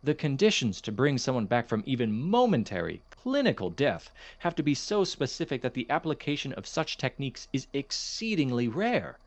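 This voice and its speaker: Audio drama academic, played by a man: male science professor, precise and kindly but cautious, expressive mid-pitched delivery, very dry sound. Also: moderate pace, hardly any pauses, dry recording. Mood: sceptical, firm. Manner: formal, precise, hesitant, gently reproving.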